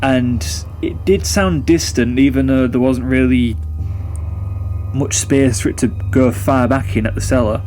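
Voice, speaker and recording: Young man, medium pitch, conversational ghost-story narration, rambling and reflective, background music. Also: measured pace, a little mellow.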